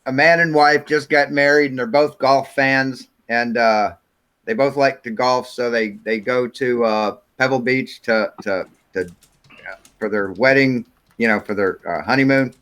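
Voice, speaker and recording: A man with a middle-pitched voice tells a long joke in a casual online chat. He rambles and fills his pauses as he goes. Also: steady tone, fairly bright tone. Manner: rambling, filler-heavy storytelling. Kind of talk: telling a joke.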